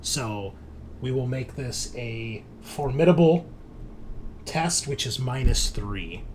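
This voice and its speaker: A man's voice: mid-range voice, takes it slowly, crowd noise. A man running a tabletop roleplaying game as game master, talking in a casual, conversational way.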